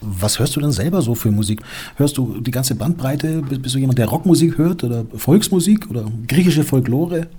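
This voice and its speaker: A male interviewer with a dark, mellow tone and a slightly husky edge. He asks curious, prompting questions in an easygoing way. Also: medium pitch, lively intonation, brisk.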